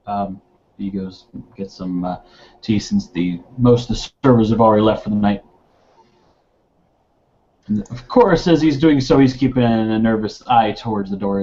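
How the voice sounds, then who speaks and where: A man's voice: lowish voice, moderate pace, warm tone. A man narrating his character's actions in an improvised tabletop roleplaying session. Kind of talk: improvised third-person game narration. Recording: dull lo-fi sound.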